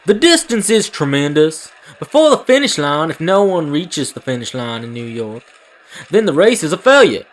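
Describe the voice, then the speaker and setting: Man voicing anime press reporter, high voice, lively swooping intonation, pointed and challenging. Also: moderate pace. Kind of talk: theatrical anime fan-dub acting.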